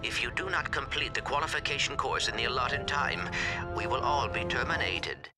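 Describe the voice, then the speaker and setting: An actor playing a terse, formal robot companion in a video game, stiff and matter-of-fact. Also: male voice, mid-range voice, moderate pace, lightly acted. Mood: urgent, formal. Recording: very loud music behind the voice.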